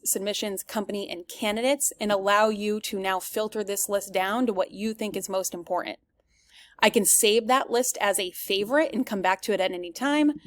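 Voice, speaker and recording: A woman presents a product walkthrough in a medium-pitched voice. She speaks directly to viewers and explains things step by step, in the manner of a semi-scripted demo. The recording is dry, with very little room sound. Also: dry recording. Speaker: presenter giving a software demo.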